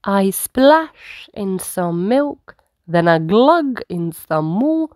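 Woman doing kids storytime, slow and really animated, dry close sound, draws words out. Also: medium pitch, no echo. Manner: dramatic, deliberate phrasing with drawn-out words. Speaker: children's storytime host. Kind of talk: expressive picture-book read-aloud.

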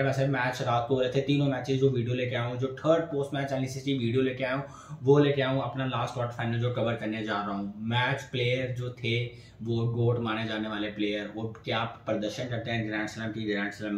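Young male vlogger, level flat delivery, roomy small-room sound with faint hiss, mid-distance camera. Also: mid-range voice. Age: young adult. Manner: gesturing, addresses viewers as friends. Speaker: tennis commentator vlogger. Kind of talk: post-match analysis to camera.

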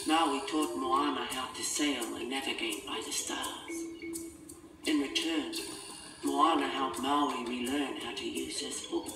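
A man's voice: mid-range voice, steady tone, measured pace, creaky. A man reading a scripted children's storybook as its narrator. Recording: echoey, distant, music behind.

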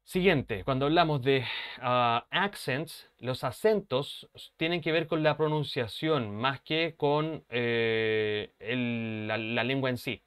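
A man runs an online lesson from home. His voice is smooth and resonant at a middle pitch, and he explains at length, pausing often to check that his students are following. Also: a bit bright. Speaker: English teacher. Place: home room, webcam.

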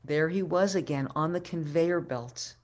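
A woman in her sixties tells a story as a keynote speaker. Her voice is low and she takes her time, pausing and repeating phrases for dramatic weight. She is talking into a webcam mic in a room at home. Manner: deliberate, dramatic storyteller with pauses and repetition. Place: living room at home, indoors. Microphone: webcam video call microphone.

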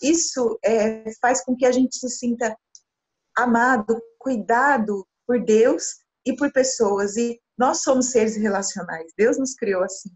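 A woman's voice: on the high side, slow. A Brazilian woman in her thirties, a missionary, talking earnestly in an interview over a video call from a small room. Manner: earnest, expressive with hand gestures. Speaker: missionary guest. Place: small room indoors, sitting beside her husband. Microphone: laptop camera mic on a video call. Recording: dull lo-fi video call audio.

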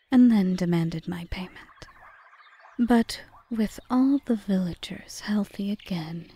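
Woman acting a slow, mellow, composed witch. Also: medium pitch. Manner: measured, formal, breathy half-whispered delivery. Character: cool, bargaining yandere witch, aggrieved yet composed. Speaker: audio roleplay performer. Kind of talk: ASMR roleplay acting.

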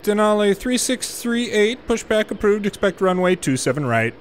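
A man doing gameplay commentary, mixing measured air traffic control phraseology with muttered casual asides. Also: high-pitched, big pitch swings. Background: game airport ambience.

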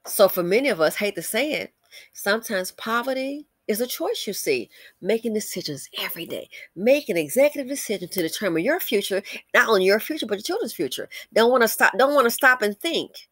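A woman's voice: medium pitch, lively intonation, a little fast, fairly bright tone, no room sound. An American woman giving blunt, scolding, opinionated commentary with emphatic conversational asides and rhetorical questions as she reads out comments. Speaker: commentary channel host.

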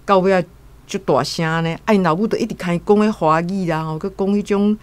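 A woman's voice: on the low side, fast talker, darkish tone. A woman with a Taiwanese accent casually retelling a story as a guest commentator, acting out quotes.

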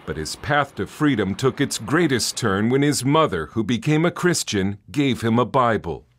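A man narrates a television news feature in a steady, measured reporter's voice at a mid pitch. Each line is delivered evenly, as if from a script.

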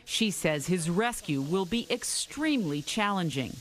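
A middle-aged woman reporting live from a TV newsroom in a steady scripted manner. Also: lowish voice, a little slow. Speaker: news reporter. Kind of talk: TV news report.

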